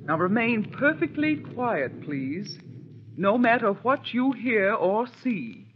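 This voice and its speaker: Melodramatic widow, slow, muffled old radio. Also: female voice, a little high, sing-song, a little hiss or hum. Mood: composed, solemn. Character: genteel, secretly guilty widow holding a séance. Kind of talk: old-time radio mystery acting.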